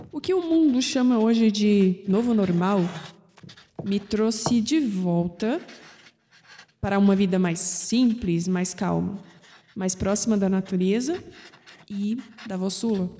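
A woman's voice: mid-range voice, mellow, big-room sound, a little hiss or hum. A young woman narrating an audio drama in a wry, understated way as its protagonist. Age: young adult.

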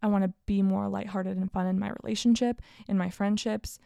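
A woman's voice: medium pitch, flat delivery, fairly quick, creaky, warm tone, dry recording. A woman in her late twenties hosting a casual solo podcast, talking candidly and upbeat about her year. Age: 20s. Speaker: podcast host.

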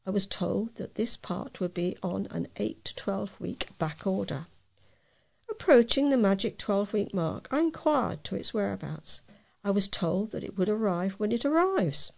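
A woman's voice: medium pitch, lively intonation, unhurried, gravelly, darkish tone. A British woman reading readers' letters aloud as a volunteer newsreader.

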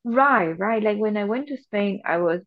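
A woman's voice: medium pitch, lively intonation, moderate pace, no room sound, phone-quality sound. A woman in her thirties from Venezuela, co-hosting a podcast in casual chat, talking freely with many fillers and restarts. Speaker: podcast co-host.

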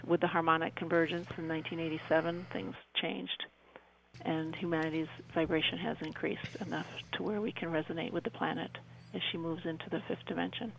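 An older woman speaking as a radio interview guest, giving a personal account in an earnest, deliberate way with a creaky voice. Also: low-pitched, steady tone, a bit bright, slight background noise, low-quality recording. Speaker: interview guest, author and self-described contactee.

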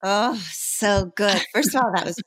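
A woman's voice: medium pitch, a little slow, fairly bright tone, dry recording. A woman hosting a casual interview, affirming and encouraging her guest with frequent backchannel responses. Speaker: podcast host.

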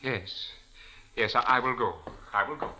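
A man's voice: medium pitch, normal speed, bright, forceful tone. An actor playing a polite, formal, obsequious male secretary to a nobleman in a 1940s radio drama. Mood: polite, submissive. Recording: noisy old radio recording with clicks.

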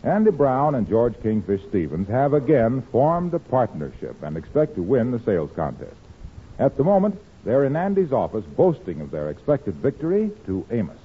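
Male vintage radio announcer, emphatic pitchman, very lively intonation, tinny phone-like recording. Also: moderate pace, slightly rough. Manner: polished, emphatic salesman delivery. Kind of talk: 1940s radio ad read and scripted narration. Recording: muffled scratchy old-time radio recording.

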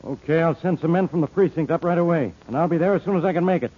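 A homicide inspector in a vintage radio mystery. He is gruff and easily irritated, with a raised male pitch. The old recording sounds dull. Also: rapid-fire. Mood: irritable. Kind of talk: 1940s radio drama acting.

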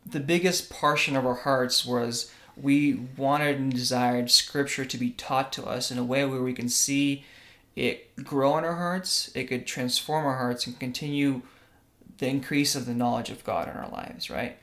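A man's voice: medium pitch, steady tone, unhurried, a bit bright. A young man from Russia co-hosting a casual religious podcast, earnest and often checking in with "right?". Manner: earnest, tag questions like "right?". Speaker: podcast co-host.

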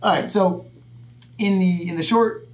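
A man's voice: high voice, steady tone, unhurried. A man in his early thirties hosting a podcast, easygoing and casual, swearing now and then. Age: 30s. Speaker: podcast host.

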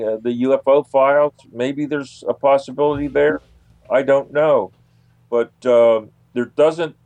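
Older male interview guest, from the United States, mid-pitched voice, halting unscripted answers, dry recording. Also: a little mellow, no echo. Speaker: interview guest, UFO investigator.

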